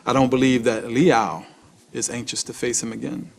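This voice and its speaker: An actor playing a resolute rebel leader and politician in a game cutscene, speaking earnestly. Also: male voice, medium pitch, flat delivery, moderate pace, vocal fry, background noise, lightly acted. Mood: diplomatic, assured. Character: resolute male rebel leader turned planetary president. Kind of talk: dramatic game cutscene acting.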